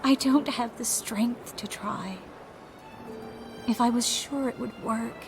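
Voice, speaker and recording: Highish voiced sorceress lady, proud and resolute but tender, game cutscene with music behind. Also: slow pace. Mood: wistful, resigned. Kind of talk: video game cutscene dialogue.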